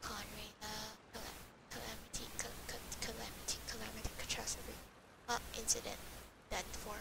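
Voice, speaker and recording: A woman's voice: fairly high pitch, level tone, a little fast, creaky, heavy background noise. A young woman giving casual, playful commentary on a game, often in murmured off-the-cuff asides. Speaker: gamer recording her playthrough. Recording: loud game music behind the voice. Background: game music.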